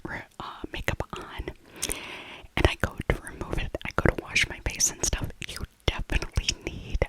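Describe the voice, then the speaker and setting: A woman in her fifties whispering a casual ASMR shopping voiceover in a breathy, unvoiced voice, musing and repeating phrases softly. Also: moderate pace, poor audio. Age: 50s. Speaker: ASMR video host.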